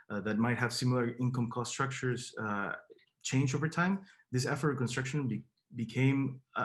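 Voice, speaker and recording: A young man from Peru, a Spanish speaker with a Peruvian accent, giving an academic seminar talk with many fillers and repeated words. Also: mid-range voice. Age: young adult. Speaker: PhD candidate giving a seminar.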